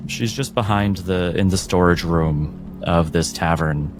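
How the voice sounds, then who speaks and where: A man narrating a tabletop role-playing game as game master, in a measured, dramatic way. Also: deep voice. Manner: measured, dramatic scene-setting. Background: music.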